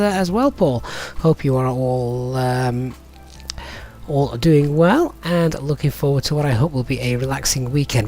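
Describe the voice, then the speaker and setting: A middle-aged man with a slightly croaky, cold-affected voice, hosting a livestream in a chatty, hesitant, casual way. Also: on the high side, a little mellow. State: has a cold. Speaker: flight simulator streamer and host.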